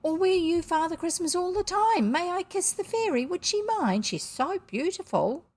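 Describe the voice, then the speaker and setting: A woman voicing an excited, grateful young girl in a children's storybook, breathless and full of wonder. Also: extremely high pitch, normal speed, pitched above the performer's own voice. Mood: awed delight. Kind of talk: children's storybook character reading.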